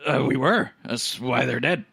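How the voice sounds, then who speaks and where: Very creaky, husky, bright-toned man. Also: mid-range voice, a little slow, soft background music. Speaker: tabletop game player. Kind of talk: casual improvised in-character dialogue.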